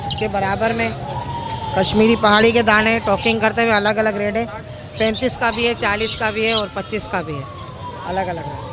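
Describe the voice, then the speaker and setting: A woman's voice: mid-range voice, steady tone, moderate pace, noisy background. An older woman, a bird seller, steadily listing prices at an outdoor market stall into a handheld mic.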